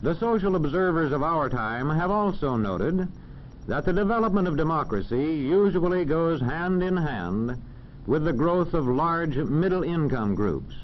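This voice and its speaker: An American man narrating a 1940s educational film in a formal, measured, didactic manner. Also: on the high side, expressive. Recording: dull, faintly noisy old film soundtrack.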